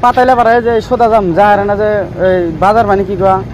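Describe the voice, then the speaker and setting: A man's voice: sits high, fairly even intonation, a little fast, poor audio. A man doing street prank banter in dialect, insistent and repetitive, feigning confusion. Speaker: prankster posing as a chicken buyer. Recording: outdoor market with crowd and traffic. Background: busy outdoor crowd and vehicles.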